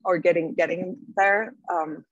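A woman's voice: on the low side, measured pace, slightly rough, dry recording. A young woman from Turkey with a Turkish accent, a podcast guest talking thoughtfully with some fillers and restarts over a video call. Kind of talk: casual interview talk. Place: small room at home. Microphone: laptop mic on a video call. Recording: dull, dry video-call audio.

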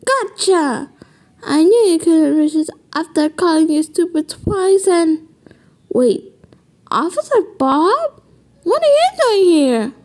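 An excitable, melodramatic cartoon crime-fighter, acted out by a woman. The voice is extremely high and swings widely in pitch. The delivery is theatrical and very slow, stretching out each exclamation. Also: full character voice. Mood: shocked. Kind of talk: amateur voice-acting line recordings.

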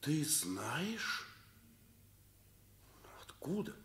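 A man's voice: a little high, sing-song, drawn-out words, fairly bright tone, full character voice. An actor playing a guilty middle-aged father, hesitant and pleading, in a Soviet radio play. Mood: evasive, uneasy.

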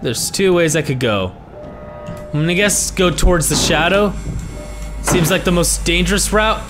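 Hoarse young man yelling through a horror game. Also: sits high, animated, normal speed. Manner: reactive, swearing, jumpy exclamations. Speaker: gaming YouTuber. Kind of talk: horror game let's play commentary. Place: small room at home. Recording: game music behind the voice.

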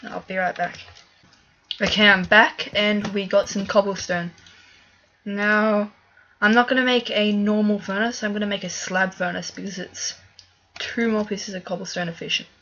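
Slightly hoarse woman narrating gameplay. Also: medium pitch, level tone, normal speed, sharp tone, highs cut off, slight background noise. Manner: chatty, thinks aloud with fillers. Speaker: gaming video creator. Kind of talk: casual let's-play commentary.

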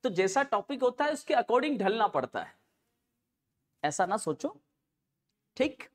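Slow-paced male teacher, sore throat. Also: sits high, sing-song. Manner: slow, deliberate, repeats key phrases while writing. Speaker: history teacher for exam students. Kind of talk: classroom lecture with asides to students. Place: indoor studio classroom at a green board. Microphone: clip-on lapel mic.

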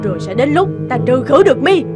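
A vicious cartoon fairy villainess, very high and wildly swooping. She threatens and commands with open menace, over loud music. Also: sing-song, quick pace. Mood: menacing. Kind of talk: cartoon fairy-tale dub.

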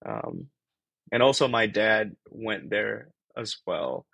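A young man from Indonesia talks through his ideas on a video call, circling back with fillers and restarts. His voice sits a little low with a gravelly, husky edge. The sound is dry and close. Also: takes it slowly, fairly bright tone, dry recording, muffled recording. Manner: rambling, thinks aloud with many fillers and restarts. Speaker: guest, PhD researcher. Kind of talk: casual video-call interview. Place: small bedroom-like room.

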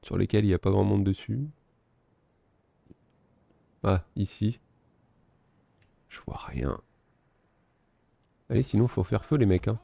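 A man giving relaxed, self-mocking commentary while playing a strategy game, thinking aloud. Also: on the low side, slow pace, vocal fry, pauses often, dry recording. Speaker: gaming video host. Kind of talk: casual let's-play commentary.

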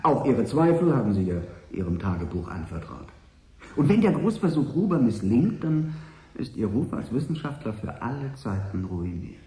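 Male actor as smooth-talking business agent, calculating, wry, lively intonation, mellow tone, roomy radio play sound. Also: mid-range voice, normal speed, natural voice. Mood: insistent, persuasive. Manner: suave, wry, articulate, persuasive. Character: smooth, calculating consortium agent, polite but ruthless. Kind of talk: 1980s German radio drama acting.

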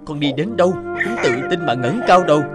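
A man's voice: fairly high pitch, animated, brisk, music in the background, lightly acted. A man voicing an earnest, easily swayed young father in a cartoon. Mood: proud. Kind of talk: cartoon voice acting.

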